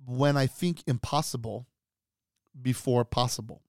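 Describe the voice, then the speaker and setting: A man's voice: mid-range voice, unhurried, no echo, studio quality. A man co-hosting a podcast, chatting casually and walking through a list with an upbeat manner and frequent restarts. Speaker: podcast co-host.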